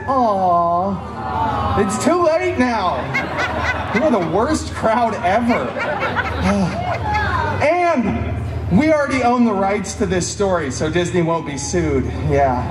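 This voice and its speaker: A man playing a wisecracking, theatrical masked antihero who tells fairy tales to a live crowd with sarcastic asides and dramatic pauses. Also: sits high, far from the mic, heavy background noise, heavily acted. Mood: wry, teasing. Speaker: theme park character performer. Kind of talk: live interactive comedic storytelling. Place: outdoor theme park stage, recorded from audience. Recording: roomy amplified outdoor show, loud music, crowd.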